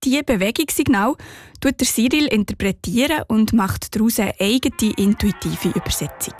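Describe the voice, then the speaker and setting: A woman's voice: on the high side, normal speed, dry recording, studio quality, soft background music. A woman with a Swiss German accent narrating a scripted radio report.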